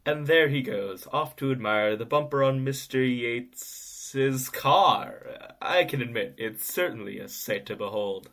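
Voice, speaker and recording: A man's voice: medium pitch, measured pace, hardly any pauses, a bit bright. A man narrating an audio drama from a script, in a wry, knowing storytelling manner. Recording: music behind the voice.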